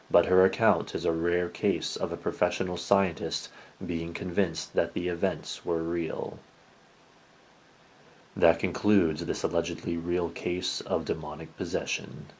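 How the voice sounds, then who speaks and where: Husky guy narrating creepy true stories, really deep voice, pretty flat and formal. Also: rough recording. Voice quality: low, husky. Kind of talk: scripted narration of allegedly real horror.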